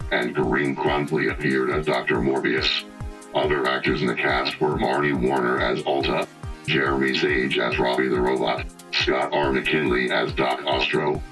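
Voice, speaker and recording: A man voicing a literal-minded robot, deadpan and stilted, in scripted announcements for a radio comedy. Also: extremely low pitch. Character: literal-minded male robot reading credits. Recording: distant voice over music.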